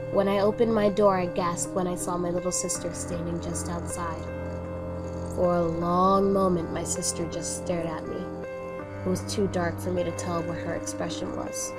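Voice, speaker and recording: Woman reading a horror story over music. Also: mid-range voice. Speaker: narrator and reader of a horror story. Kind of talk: dramatic first-person creepypasta reading. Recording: music under the voice.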